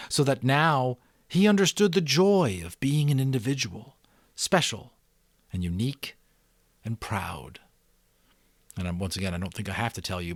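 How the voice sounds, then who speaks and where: A middle-aged man giving a dramatic reading of a novel, with deliberate emphasis and casual asides to his listeners. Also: medium pitch, no room sound. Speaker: audiobook reader and channel host.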